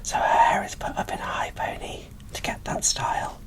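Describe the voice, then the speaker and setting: A woman whispering casual, gushing ASMR commentary as she presents an unboxing, in a breathy, informal way. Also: normal speed.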